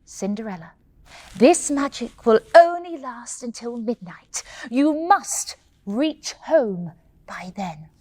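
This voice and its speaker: Woman doing a storybook fairy godmother, kindly, slow soft reassuring speech, highish voice. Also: lively intonation, no room sound. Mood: warning.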